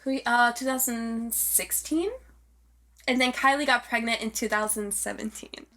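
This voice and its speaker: A young woman with a high, bright, forward voice chats as a podcast co-host. She gossips casually in an animated, slangy way, with the odd swear word. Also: normal speed.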